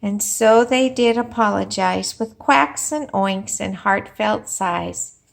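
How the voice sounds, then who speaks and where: A woman teacher reading a storybook aloud and talking to young children, expressive, with questions and pauses for them. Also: medium pitch, creaky. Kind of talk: animated storybook read-aloud and lesson.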